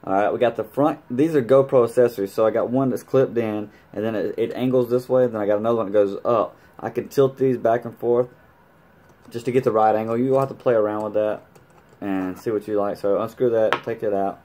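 Guy with a lowish voice doing a laid out how-to vlog, slangy, throws in little sound words, at home. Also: steady tone, brisk, warm tone. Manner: colloquial, playful sound-effect interjections. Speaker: motorcycle vlogger demonstrating gear. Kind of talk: casual hands-on tutorial chat.

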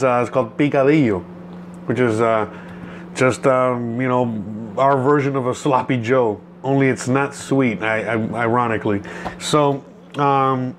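A man in his thirties from Cuba chats casually and ramblingly as he hosts a home cooking vlog. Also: mid-range voice. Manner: easygoing, rambling. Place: home kitchen, indoors. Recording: noticeable background noise in a kitchen.

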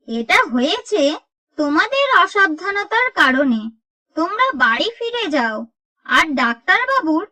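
A woman's voice: extremely high pitch, bright, forceful tone, exaggerated voice, rough recording. A woman voicing a wise serpent mother spirit in a cartoon, giving firm instructions to villagers.

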